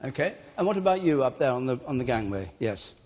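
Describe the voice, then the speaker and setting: A man moderating a televised debate, brisk and directing speakers in turn. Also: medium pitch, sing-song, a little mellow. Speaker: debate show host. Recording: studio hall with audience.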